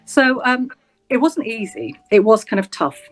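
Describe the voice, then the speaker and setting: A woman in her fifties with a Yorkshire accent, recounting her working life as a guest on an interview show. She speaks in a measured, reflective way and pauses often on "um". Soft music plays faintly behind her. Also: a little high, very animated. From England. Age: 50s. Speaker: guest, senior prosecutor. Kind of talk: interview storytelling.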